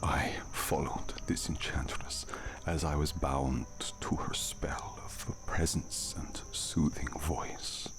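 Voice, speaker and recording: A man playing a courteous, brooding vampire count in an ASMR audio drama, speaking formally in a gravelly near-whisper. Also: a bass voice, steady tone. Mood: storytelling, wistful. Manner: formal, slow, measured speech. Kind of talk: ASMR roleplay acting. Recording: noisy, night crickets and outdoor ambience.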